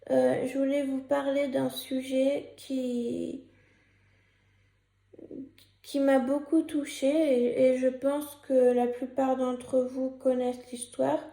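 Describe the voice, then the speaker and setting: YouTuber, young woman, sick with a cold, gravelly and mellow, hesitant with lots of fillers. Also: sits high, level tone, takes it slowly, reverberant. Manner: hesitant, many fillers and restarts. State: has a cold and a sore throat. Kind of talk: casual vlog commentary, partly read from a script.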